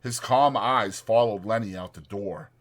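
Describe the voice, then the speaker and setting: A man's voice: on the low side, measured pace. A man reading a story aloud as an audiobook narrator, in a dramatic scripted style.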